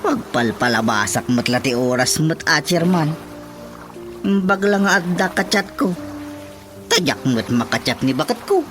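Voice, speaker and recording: A man's voice: fairly high pitch, big pitch swings, a little slow. A grumbling husband acted by a man complains about his wife in radio comedy drama style. Music plays prominently behind his voice.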